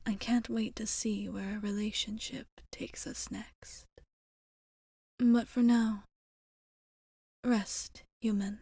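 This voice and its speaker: Woman as a slow, whispering, tender vampire bartender. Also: mid-range voice, little pitch movement, no room sound, clean studio sound, full character voice. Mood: gentle, reassuring. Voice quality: soft, breathy whisper. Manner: slow, deliberate, slightly formal, affectionate. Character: tender, old-souled female vampire bartender in love with a human. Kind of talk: whispered ASMR roleplay.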